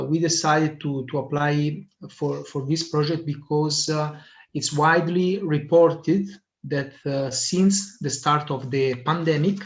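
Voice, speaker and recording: A man hosting an online meeting, hesitant, with many filler sounds. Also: a little high. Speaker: meeting host. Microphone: video call. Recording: muffled lo-fi video call audio.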